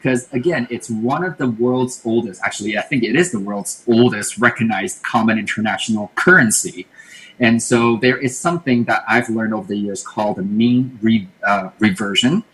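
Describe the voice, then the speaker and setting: Male podcast guest from Taiwan, lower voice, thoughtful, explanatory. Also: moderate pace, a bit bright, poor audio. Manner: thoughtful, explanatory, repeats words while thinking. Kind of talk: casual interview talk.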